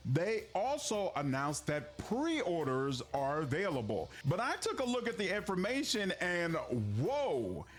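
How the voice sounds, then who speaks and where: A man giving casual, exasperated commentary as a gaming video creator, explaining clearly. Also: high voice, fairly bright tone.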